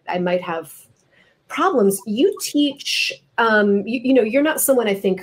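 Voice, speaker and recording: Middle-aged American woman in literary conversation. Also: medium pitch. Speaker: interviewer, novelist and teacher.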